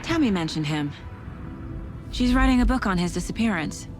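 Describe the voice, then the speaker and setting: A woman's voice: medium pitch, animated, normal speed, lightly acted. An actress playing a dry, level-headed female detective in a video game, wry and conversational. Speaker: detective partner. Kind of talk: cinematic video game dialogue. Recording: loud music under the voice.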